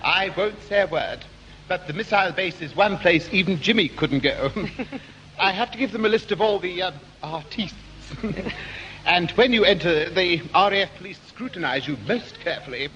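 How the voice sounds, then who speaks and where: A prim, fussy English gent with a high, bright voice. He is timid and hesitant, acted in old radio sitcom style with slight background hiss. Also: lively intonation, moderate pace, highs cut off. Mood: flustered. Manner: hesitant, prim, nervous chuckling. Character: fussy, timid, genteel amateur dramatics producer. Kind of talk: 1960s British radio sitcom acting. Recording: faintly noisy 1960s radio broadcast.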